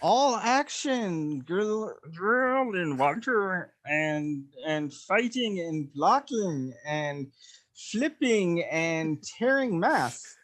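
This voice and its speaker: Woman describing panels: very slow, halting, joke broken English, lively pitch. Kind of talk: comedic dramatic reading.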